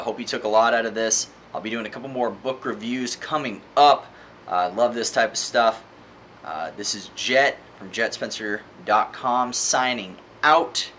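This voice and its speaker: Forceful low-voiced man reviewing a book. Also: a little hiss or hum. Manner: earnest, emphatic, halting with repeated rhetorical questions. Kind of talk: casual opinionated book review monologue.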